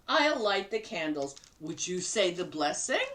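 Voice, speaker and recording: A woman reading a storybook aloud, voicing a kindly, teasing old grandmother in a high, sing-song voice. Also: big pitch swings, unhurried, recorded from a distance, background noise. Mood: fond.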